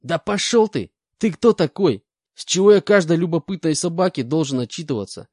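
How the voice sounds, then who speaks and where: A man's voice: on the high side, lively intonation, moderate pace, darkish tone, dry recording, studio quality, pitched above the performer's own voice. A man voicing an insolent grey-haired male convict with gold teeth, talking in brash slang. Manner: brash street slang, cocky.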